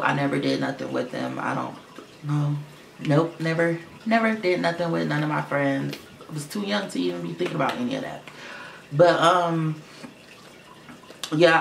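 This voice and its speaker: Gravelly, chatty young man, roomy echo. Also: a bit bright. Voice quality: voice he calls low for a boy, sounding like a girl's. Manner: rambling, many fillers and "like", swears freely. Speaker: vlogger. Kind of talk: casual storytime monologue to camera. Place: room at home. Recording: roomy echo with faint filter hum. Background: fish tank filter hum.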